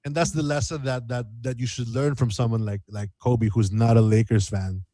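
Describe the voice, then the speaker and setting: A man chatting casually as an interview guest, telling stories in an animated way and repeating phrases as he goes. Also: mid-range voice, moderate pace, no echo, studio quality. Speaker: guest musician.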